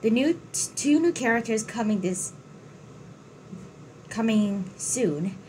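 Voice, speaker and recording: A woman hosting a gaming channel, giving casual playthrough commentary with a halting, stumbling delivery. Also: on the high side, animated, darkish tone, slight background noise, low-quality recording.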